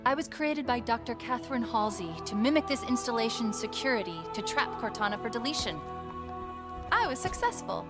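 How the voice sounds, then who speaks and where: A bright young female artificial intelligence from a video game, played by a woman. She is curious and eager, with lively, swooping intonation. Music plays behind her dialogue. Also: on the high side, lightly acted. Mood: earnest. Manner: eager, inquisitive, quick-witted. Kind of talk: video game cutscene acting.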